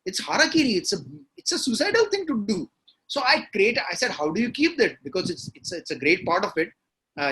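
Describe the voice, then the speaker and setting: A man's voice: high-pitched, a bit bright, poor audio. A man with an Indian accent, a novelist guest in a conversational interview, explaining his work reflectively and slipping into Hindi at times.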